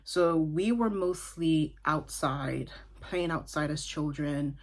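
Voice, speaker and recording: A woman's voice: deep voice, slow pace. A woman in her twenties from Dublin, chatting casually and thoughtfully about a book, with side remarks. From Ireland (Dublin). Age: 20s. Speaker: book vlogger. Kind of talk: casual book review talk.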